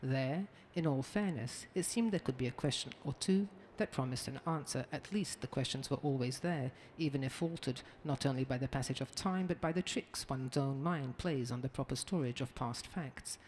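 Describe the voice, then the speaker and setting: A man narrating in a measured, formal reading style. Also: medium pitch, a little mellow, slight background noise, poor audio. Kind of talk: scripted art-film narration.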